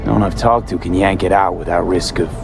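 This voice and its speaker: A streetwise American mercenary with a fairly low voice in a video game. He is blunt, wary and stubborn, with dry sarcasm and clipped slang. Music is clearly audible underneath. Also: fairly quick. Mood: defiant, frank. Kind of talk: video game dramatic acting.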